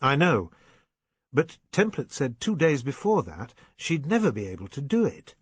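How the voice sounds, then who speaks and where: A man voicing an anxious, intense young English gentleman in an audiobook novel, urgent and well-bred. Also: mid-range voice, sing-song, moderate pace, slightly rough, no room sound. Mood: urgent, certain.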